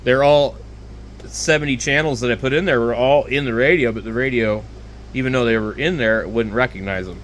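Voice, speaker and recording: A man giving casual, rambling, unscripted product talk as a video host, with a hesitant, halting delivery. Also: medium pitch, fairly bright tone, slight background noise. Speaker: hobbyist video host reviewing handheld radios.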